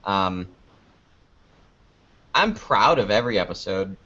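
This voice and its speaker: A man with a fairly low voice talks as an interview guest, rambling and joking at his own expense. The delivery is casual and unscripted. The sound is somewhat dull and lo-fi. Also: big pitch swings, takes it slowly, pushed and bright. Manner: rambling, self-deprecating, jokey. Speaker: interview guest, online video maker.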